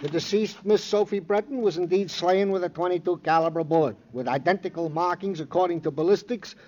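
A man's voice: high-pitched, a little fast, darkish tone, slight background noise, close to an everyday voice. A man playing a fussy, easily wounded police clerk in a vintage radio drama, pompous, plaintive and flowery in his wording. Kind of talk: 1950s American radio crime drama acting. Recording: muffled old radio recording.

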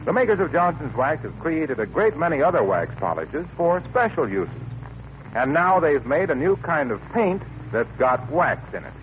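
A man's voice: fairly high pitch, very animated, normal speed. A man with an American accent reading scripted announcements and sponsor copy as a 1940s radio announcer. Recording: tinny vintage radio recording with faint noise.

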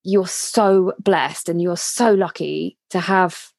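A woman's voice: fairly low pitch, steady tone, slow pace, creaky, a little mellow, no room sound. A woman in her thirties co-hosting a podcast, talking in a casual, heartfelt and reflective way that is full of restarts. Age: 30s. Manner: reflective, many restarts and trailing phrases. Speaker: podcast co-host.

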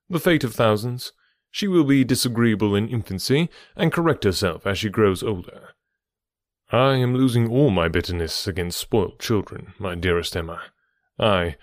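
A man voicing an earnest gentleman who gently lectures, in a lower, measured tone for audiobook dialogue. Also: no room sound, studio quality, lightly acted.

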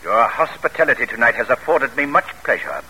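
An actor playing a proud, easily provoked gentleman general in formal, theatrical 1940s radio drama style. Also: mid-range voice, big pitch swings, a little fast, slight background noise, rough recording. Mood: indignant, stiff. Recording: vintage radio broadcast, rough and worn.

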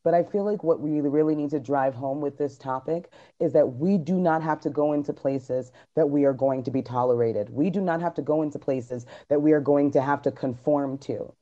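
A man co-hosting a casual podcast chat, talking in an emphatic, animated, preachy way. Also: on the high side, normal speed, hardly any pauses, lo-fi sound. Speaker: podcast co-host. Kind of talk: casual group chat on a live stream.

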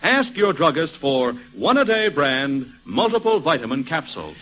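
A man's voice: fairly high pitch, sing-song, normal speed, pushed and bright, rough recording. An American man announcing in vintage radio advertising style, with an emphatic, polished sales delivery.

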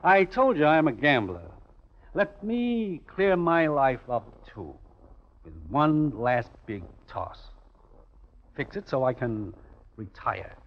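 An actor playing a cool, calculating gambler in a 1940s radio drama, smooth and commanding, with deliberate phrasing. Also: male voice, fairly high pitch, very animated, heavily acted. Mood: calmly persuasive. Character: cool, calculating male gambler offering a sinister deal. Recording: muffled old radio recording.

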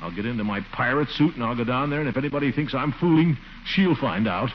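An actor playing a lonely, self-pitying middle-aged uncle, muttering and grumbling to himself in a 1940s radio comedy style. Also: male voice, medium pitch, lively intonation, fast, natural voice. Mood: defiant. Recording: muffled old radio recording with music.

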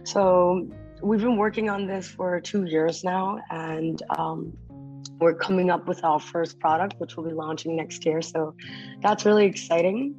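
A young woman from Malaysia, a startup founder, explaining her work in a measured, businesslike way as a podcast guest. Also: on the low side, darkish tone, highs cut off. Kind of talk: casual podcast interview.

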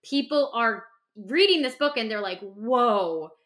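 A woman's voice: a little high, lively intonation, measured pace, a bit bright. A woman speaking as a podcast host and clinician in candid conversation, passionate and emphatic, repeating phrases for stress.

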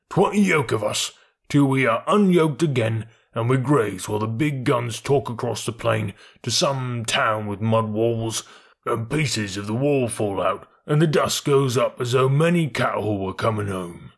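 A man voicing a stolid, fatalistic bullock in a storybook reading, slow, solemn and chanting, with a rough voice. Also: mid-range voice, heavily acted. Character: bullocks, stolid, fatalistic yoke of gun oxen speaking slowly.

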